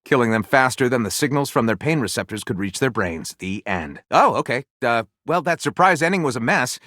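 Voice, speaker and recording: Game role: flamboyant male storyteller, pompous and wry, very animated intonation, close dry sound. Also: mid-range voice, fairly quick, dry recording. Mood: wry, excited. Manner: theatrical, grandiose, wry. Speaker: voiced video game character. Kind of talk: dramatic storybook narration with wry asides.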